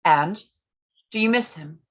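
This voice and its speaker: A woman playing a gentle, forbearing young gentlewoman in a staged reading of a period drama, earnest and polite, on slightly dull audio. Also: fairly low pitch, animated, unhurried. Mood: pointed.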